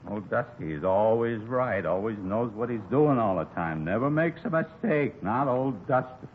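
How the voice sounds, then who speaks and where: A man's voice: mid-range voice, animated, moderate pace, natural voice. Petty crook played by a male actor, American, tipsy and rattled, rambling self-defence, vintage radio crime drama with phone-like audio. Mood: bitter. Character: nervy, slightly drunk petty crook, defensive then pleading. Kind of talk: 1950s radio drama acting. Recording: noisy narrowband 1950s radio recording.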